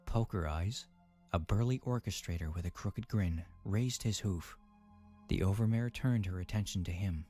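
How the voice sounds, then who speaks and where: A man narrating a dramatic first-person story in a brooding, measured manner. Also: low-pitched, mellow, close to an everyday voice. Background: faint music.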